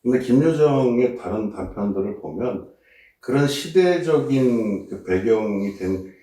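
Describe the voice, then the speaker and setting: A man taking part in a casual book discussion, speaking in a thoughtful, measured way about literature. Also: medium pitch, expressive, mellow. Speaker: seminar participant. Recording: echoey room, distant mic.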